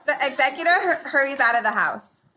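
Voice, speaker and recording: A woman narrating stage directions at a live comedy read, in a brisk, declarative way. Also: high voice, lively intonation, sharp tone, a little hiss or hum, poor audio.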